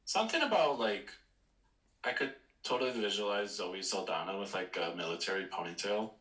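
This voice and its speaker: A man's voice: lowish voice, lively intonation, fairly quick, a bit bright. A man co-hosting a podcast, chatting casually, recorded with a roomy echo. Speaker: podcast co-host. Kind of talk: casual chat about movies.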